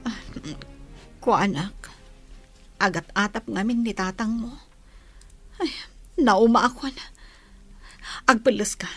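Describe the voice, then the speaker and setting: A woman's voice: medium pitch, slow pace, long pauses, fairly bright tone, slightly rough, faint music behind. A woman playing a weary, troubled young mother in a radio drama, with emotive, sighing delivery. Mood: weary, urgent. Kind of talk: Philippine radio drama acting.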